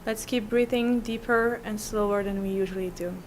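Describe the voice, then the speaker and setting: A woman guiding a breathing meditation slowly and soothingly, with long pauses between instructions. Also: mid-range voice, fairly even intonation, a little hiss or hum, rough recording. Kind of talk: guided meditation.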